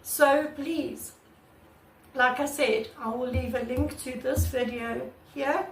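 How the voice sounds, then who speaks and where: A woman artist with a croaky voice, talking casually and earnestly to her channel's viewers, recorded on a camera mic without a proper microphone. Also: sits high, steady tone, slow, far from the mic, slight background noise. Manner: earnest and repetitive, many restarts. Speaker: artist vlogger. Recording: echoey room, away from the mic.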